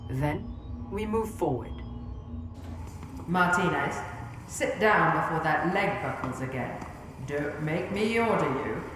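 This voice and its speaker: A low-voiced, weary female colonel speaks with grave, clipped authority in a sci-fi radio play. Her pace is slow and deliberate, ringing through a noisy, echoing hall. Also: animated, over music. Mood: grave, commanding. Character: stern, weary female rebel colonel, commanding and principled. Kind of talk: sci-fi audio drama acting. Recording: echoey hall, clear background noise.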